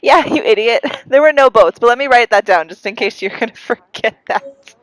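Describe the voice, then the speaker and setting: A woman's voice: fairly high pitch, expressive, moderate pace, no room sound, poor audio. A congested-sounding woman giving giggly, chatty, self-deprecating commentary while livestreaming a game. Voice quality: congested, audible breathing. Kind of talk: casual livestream gaming commentary. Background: video game music.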